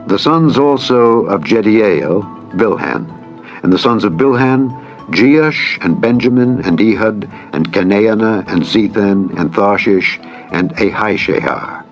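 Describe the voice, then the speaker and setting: A man narrating an audio Bible, reading solemnly in a measured, formal, declamatory way. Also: on the low side, dull audio. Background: background music.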